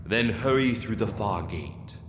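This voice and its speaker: A man playing an older, watchful wizard mentor in a fantasy game, giving measured, authoritative instructions. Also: lowish voice, expressive, roomy sound, very noisy. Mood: calm, instructive. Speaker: mentor guiding the player. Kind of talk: scripted fantasy game dialogue. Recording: loud game music over the voice.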